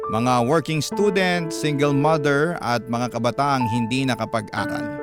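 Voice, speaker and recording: A man narrating a listener's letter in the style of a classic radio drama, in a steady storytelling cadence. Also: medium pitch. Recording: music bed under voice. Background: loud music.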